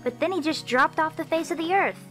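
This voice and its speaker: A woman's voice: high voice, brisk, fairly bright tone. A woman voicing a perky, curious teenage girl sidekick in an amateur game fandub. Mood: eager. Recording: music faintly behind the voice.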